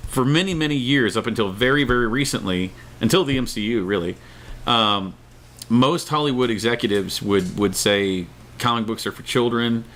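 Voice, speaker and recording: A man's voice: mid-range voice, normal speed, a bit bright. A man co-hosting a casual, opinionated discussion, emphatic and repeating words for stress. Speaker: talk show co-host. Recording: noticeable background noise.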